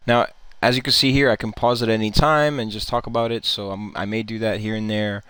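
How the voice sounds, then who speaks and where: A man's voice: on the low side, steady tone, brisk, a bit bright, clear, resonant tone, dry recording. A man hosting a gaming channel, giving casual, rambling reaction commentary full of fillers and repeated words.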